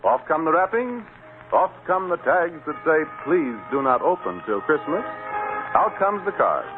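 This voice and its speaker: A man's voice: fairly high pitch, very animated, measured pace, over music. Guy announcer, old radio, polished n earnest, lilting up and down, tinny. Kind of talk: scripted 1930s sponsor message. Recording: tinny, hissy vintage radio broadcast.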